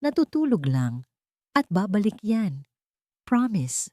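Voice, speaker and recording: A woman's voice: mid-range voice, very animated, takes it slowly, a little mellow, no echo, studio quality, voice pushed up. A woman voicing a grieving, resolute young mother and nurse in an audio story, with earnest, emphatic phrasing. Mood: tender, reassuring.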